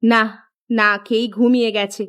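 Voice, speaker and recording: Woman as middle-aged cartoon mother, village household, fretful, practical, plain-spoken, somewhat high pitch. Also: flat delivery, normal speed, a bit bright, natural voice. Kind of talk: scripted Bengali cartoon dialogue.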